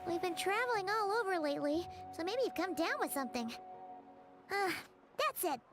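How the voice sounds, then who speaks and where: Super high squeaky little game fairy, chirpy and caring, voice bounces all over. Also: female voice, sing-song, moderate pace. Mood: concerned. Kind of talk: video game voice acting. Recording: game audio with music behind.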